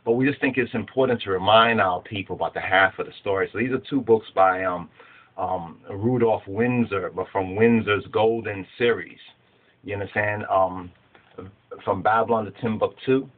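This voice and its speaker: A man in his forties teaching scripture from a small room at home, rambling and conversational with plenty of restarts. His voice sits fairly low, and the webcam audio is thin and tinny like a phone line. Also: normal speed. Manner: conversational, many fillers and restarts, holds books up to camera. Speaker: religious teacher. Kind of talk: informal teaching video. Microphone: webcam close-up.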